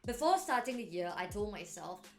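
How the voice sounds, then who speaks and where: A woman's voice: mid-range voice, sing-song, normal speed, faint music behind. A woman in her twenties from Malaysia, talking casually to her channel in an upbeat, chatty way with lots of "like" fillers. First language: not English or French. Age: 20s. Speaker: YouTuber. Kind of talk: casual vlog storytelling.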